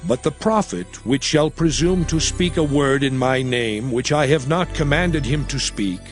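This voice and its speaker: A man reading scripture as narrator in a solemn, measured, dramatized style. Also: a little high.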